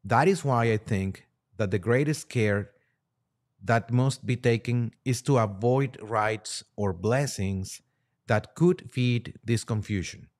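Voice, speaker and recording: A man reading quotes aloud and commenting on them as a podcast guest, with halting, deliberate phrasing. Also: mid-range voice, darkish tone.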